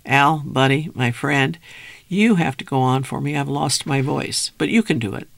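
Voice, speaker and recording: A man voicing a hoarse young actor with laryngitis, pressing a friend to go on stage. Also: medium pitch, moderate pace, no room sound. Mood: urgent. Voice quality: raspy.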